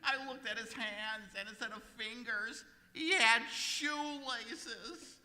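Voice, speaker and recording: A woman acts out a hysterical, whimpering salesman in an old-time radio comedy. The voice is high and very sharp, fretting repetitively. It rings through a noisy live hall. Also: slow pace, heavily acted. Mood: agitated. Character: frazzled, hysterical married male department store salesman. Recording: echoey hall, noisy live stage recording.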